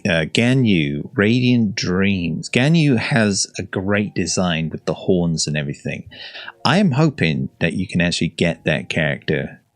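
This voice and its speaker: Low-voiced man musing aloud. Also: expressive, a little slow, no echo. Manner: thinking aloud, hesitant with many fillers. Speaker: reaction video host. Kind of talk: casual musing commentary.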